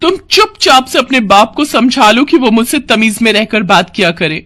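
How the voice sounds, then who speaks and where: A woman's voice: a little high, lively intonation, moderate pace, a bit bright, no room sound, studio quality, pitched above the performer's own voice. A woman voicing an arrogant young modern bride in a cartoon story, cheeky, defiant and bossy. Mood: insolent, bossy. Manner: haughty, cheeky.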